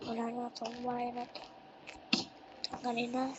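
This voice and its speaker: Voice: medium pitch, completely flat delivery, normal speed, dull audio. A child with a Filipino accent chatting casually while playing a game, role-playing and repeating short phrases to themself. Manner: repetitive, talking to self in short phrases. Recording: very noisy home recording with clicks.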